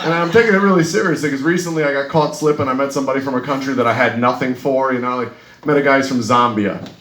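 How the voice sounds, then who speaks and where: A man's voice: mid-range voice, fast, fairly bright tone. A man from the United States with an American accent performing live stand-up comedy in a conversational, wry manner. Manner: conversational, wry, punctuated by "you know". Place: live comedy venue in Munich. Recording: echoey live room with clear noise.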